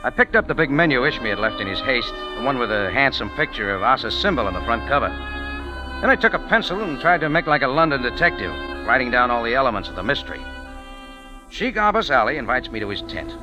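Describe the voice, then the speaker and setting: A man plays a tough, wisecracking American adventurer in an old radio drama, with music behind him. His delivery is animated, moving freely between dry slang and punchy insistence. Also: medium pitch, quick pace, natural voice, rough recording. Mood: wry narrating. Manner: hard-boiled, slangy, wisecracking. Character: wry, tough American adventurer and first-person detective narrator. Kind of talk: 1940s radio acting. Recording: vintage 1940s radio broadcast with music.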